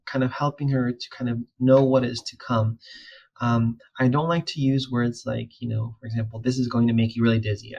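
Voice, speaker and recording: A man's voice: mid-range voice, gravelly, poor audio. A young man whose first language is Spanish, an audiologist talking casually on a video call, with lots of filler words. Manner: relaxed, many fillers and restarts. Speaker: audiologist and PhD student. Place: room at home.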